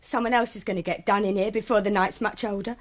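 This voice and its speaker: An actress playing a feisty working-class English maid in a radio mystery, blunt, indignant and on edge. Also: female voice, medium pitch, fairly even intonation, fast, natural voice. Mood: defiant. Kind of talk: British radio mystery drama acting.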